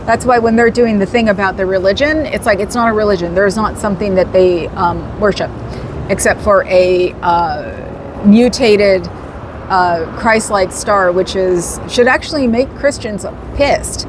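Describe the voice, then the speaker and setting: A woman's voice: mid-range voice, a little slow. Chatty woman streaming from a noisy street. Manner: chatty, rambling, laughs often. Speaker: livestream host. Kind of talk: casual livestream chat with viewers.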